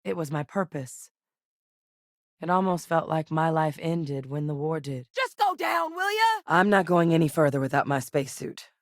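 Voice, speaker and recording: An actress playing a steady, guarded former soldier turned scientist in a video game, speaking in a measured, matter-of-fact way and barking orders in combat. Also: female voice, low-pitched, dry recording, clean studio sound. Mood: combat shouts.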